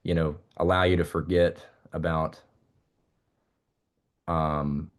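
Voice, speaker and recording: A man's voice: very deep voice, level tone, slow pace. A young man, a musician guest, chatting casually in an interview and rambling with many fillers and restarts.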